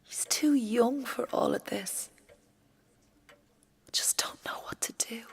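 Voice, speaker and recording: A woman's voice: a little high, slow pace, whispered. A woman playing an anxious working-class young mother who fears for her husband, in an intimate audio drama monologue. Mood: anguished. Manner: hushed, breathy inner thoughts broken by spoken-aloud outbursts. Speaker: actor in a solo audio play.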